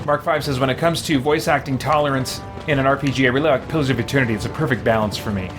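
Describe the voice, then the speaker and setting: Male streamer, medium pitch, casual running gameplay commentary, chatty and musing, light background music. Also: rapid-fire. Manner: chatty, muses aloud. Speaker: game streamer. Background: game music.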